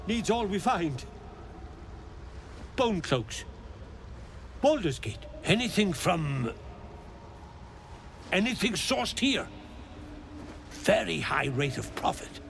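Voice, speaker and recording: An actor playing a confused, earnest mushroom forager in a video game, speaking in halting fragments that trail off and repeat. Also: male voice, high voice, big pitch swings, gravelly, fairly bright tone, slight background noise, exaggerated voice. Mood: rambling, eager.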